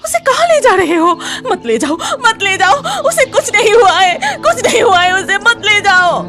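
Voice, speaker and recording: A woman playing an emotional village mother in an animated melodramatic story. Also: extremely high pitch, moderate pace. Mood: anguished denial.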